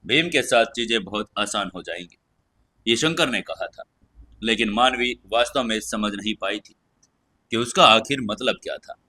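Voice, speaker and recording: A man's voice: on the low side, moderate pace, sharp tone. A man hosting and narrating a scripted audio story. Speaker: storyteller and channel host.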